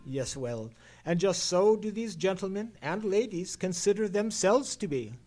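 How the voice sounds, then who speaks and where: A Victorian gentleman sleuth in a radio play. His voice sits fairly high, and he speaks in a formal, precise, musing way with theatrical confidence. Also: animated, measured pace, faint music behind. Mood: musing, intrigued. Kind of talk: old-time radio mystery play, performed live.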